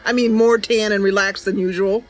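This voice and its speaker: A woman hosting a casual gameplay livestream, chatty and giggly, with hesitant pauses. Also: mid-range voice, steady tone, low-quality recording. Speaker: livestream host. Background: game music and ambient sounds.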